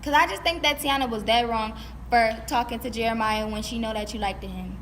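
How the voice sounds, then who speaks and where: A woman's voice: fairly high pitch, a little fast, natural voice. A young-sounding woman playing a blunt, sassy schoolgirl in casual skit dialogue with her friends. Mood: blunt, disapproving. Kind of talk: stage play video skit, casual dialogue. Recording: clear ambient background noise.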